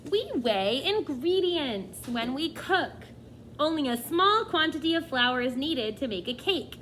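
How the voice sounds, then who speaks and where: A woman's voice: sits high, very animated, unhurried, fairly bright tone, a little hiss or hum. A woman teacher reading a nonfiction book aloud to children, expressively, often addressing them directly with questions and asides.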